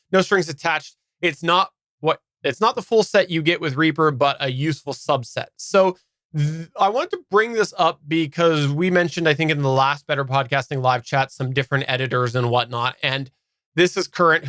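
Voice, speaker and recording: A man's voice: on the high side, bright, forceful tone, dry recording. A man co-hosting a podcast, chatting casually and relaying listener comments in a live discussion. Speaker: podcast co-host.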